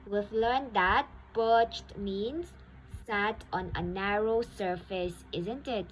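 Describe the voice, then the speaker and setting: A woman's voice: medium pitch, slight background noise, low-quality recording. A woman teaching vocabulary to young pupils, repeating each definition and checking understanding with "okay?". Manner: slow and deliberate, repeats each definition, ends phrases with "okay?". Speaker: school teacher.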